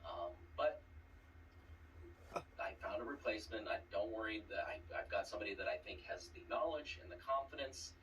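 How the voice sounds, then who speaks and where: A man's voice: fairly low pitch, animated, normal speed, a bit bright. A man giving a casual confessional video message in a hesitant, dejected way, as a trivia competitor. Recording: distant mic with faint noise.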